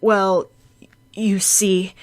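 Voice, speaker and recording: A woman's voice: medium pitch, flat delivery. A woman voicing an awkward, proud teenage boy who stammers and gets flustered, in an audiobook story. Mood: embarrassed, pleading. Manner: stammering, halting.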